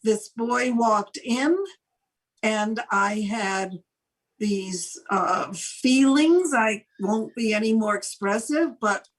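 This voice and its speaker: Slow-talking older woman, slightly high voice. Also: rough recording. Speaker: podcast co-host. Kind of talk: casual chat.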